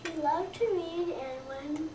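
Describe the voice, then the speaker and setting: A young child of about seven or eight, a second grader, reading a scripted narration aloud in a careful, halting way. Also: high-pitched. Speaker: second-grade student reader. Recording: roomy, distant mic, noisy background.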